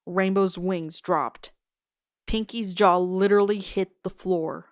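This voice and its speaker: A woman narrating a scripted story in an expressive storytelling style. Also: medium pitch, slow pace, a little hiss or hum. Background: music.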